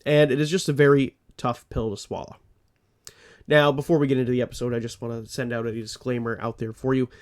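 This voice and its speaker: A man's voice: mid-range voice, flat delivery, fast. A man hosting a true crime podcast, a little congested and phlegmy, talking in an earnest way with rambling asides. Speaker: podcast host.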